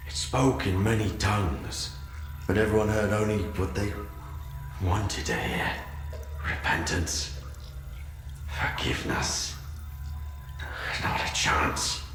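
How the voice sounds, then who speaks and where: Deep, slow, echoing solemn zealot. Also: male voice, a bit bright, heavily acted. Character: solemn, embittered male zealot soldier mourning his lost faith. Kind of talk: solemn dramatic game monologue. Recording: heavy echo with music underneath.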